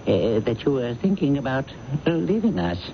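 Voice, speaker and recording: Swooping, pompous, stammering boss. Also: male voice, mid-range voice, big pitch swings. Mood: sly, chummy. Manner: pompous, halting, hemming and hawing. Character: stuffy, prurient male investment-house boss. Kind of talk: radio drama acting. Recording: muffled, noisy old radio broadcast.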